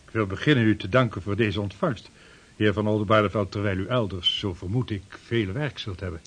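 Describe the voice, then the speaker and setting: A man's voice: fairly low pitch, a little fast, fairly bright tone, husky. An actor playing an earnest, modest seasoned voyager making his case in a period radio drama. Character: earnest seasoned voyager and trader, adult man.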